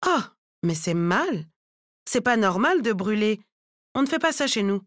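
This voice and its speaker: Woman playing calm, courteous African salon owner. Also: mid-range voice, a little slow, no echo, clean studio sound. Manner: even-toned, courteous.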